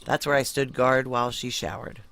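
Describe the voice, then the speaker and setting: A woman's voice: extremely low pitch, moderate pace, creaky, fairly bright tone, slight background noise, heavily acted. An actress playing a tough, blunt, world-weary female security operative in a noir audio drama, speaking in terse, salty phrases. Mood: weary, brooding. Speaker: lead actor and narrator in an audio drama. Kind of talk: noir audio drama acting with intimate first-person narration.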